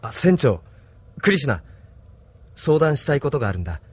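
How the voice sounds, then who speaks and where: A man playing a thoughtful, homesick young resistance leader in an audio drama, soft-spoken and reflective. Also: mid-range voice, very animated, fairly quick, husky, no room sound. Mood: earnest, doubtful. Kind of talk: anime-style audio drama acting.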